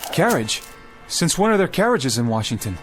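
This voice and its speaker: An actor playing a bewildered, urgent man stranded in the past, halting and insistent, in a radio drama. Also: fairly high pitch, big pitch swings. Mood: confused. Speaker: lead actor.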